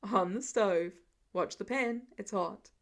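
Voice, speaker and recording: A woman voicing an affectionate, easygoing middle-aged father with a low-key, wry manner. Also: takes it slowly. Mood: wry, fond.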